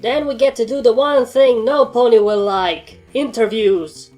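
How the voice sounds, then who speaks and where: A woman plays a stern pony commander in an audio storybook, a mare who gives orders in a matter-of-fact way and is easily annoyed. Music plays clearly behind the voice. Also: on the high side, unhurried, a little mellow, pitched above the performer's own voice, close to an everyday voice. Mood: brisk, commanding.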